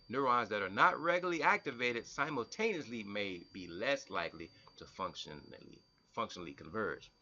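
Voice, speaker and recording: A man's voice: medium pitch, lo-fi sound. A man reading science text aloud and breaking off to explain it in his own casual words, often repeating and restarting. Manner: animated, breaks from reading to comment and mimic people. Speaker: health vlogger.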